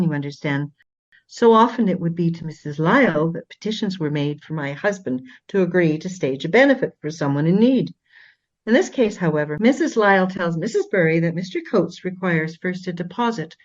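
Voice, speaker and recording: A woman playing a proud, indignant Regency gentlewoman in a radio play, speaking formally and emphatically in defence of her husband. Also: fairly low pitch, highs cut off, lightly acted.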